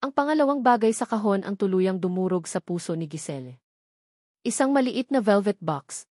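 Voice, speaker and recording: A woman's voice: mid-range voice, animated, normal speed, a little mellow, no room sound, clean studio sound. A woman with a Filipino accent narrating a scripted dramatic love story as an audiobook reader.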